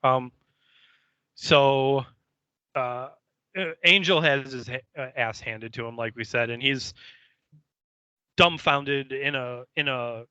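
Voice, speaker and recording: A man co-hosting a podcast, giving a casual episode recap in a halting, hesitant, foul-mouthed way. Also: medium pitch, pushed and bright, smooth, no echo, lo-fi sound. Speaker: podcast co-host.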